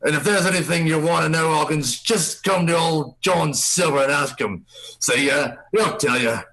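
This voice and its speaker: A man's voice: fairly high pitch, normal speed, creaky, sharp tone, poor audio. A man playing a genial, wily sailor and ship's cook in a radio play, with folksy seadog talk. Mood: welcoming, jovial. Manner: chuckling, folksy old-seadog talk, drawn out. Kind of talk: live radio play reading.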